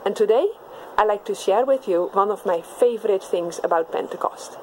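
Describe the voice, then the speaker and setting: Woman, Dutch accent, children's message presenter, simple and clear, mid pitch. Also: moderate pace, slight background noise, poor audio. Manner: simple, clear explanations to kids. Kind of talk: friendly talk to children.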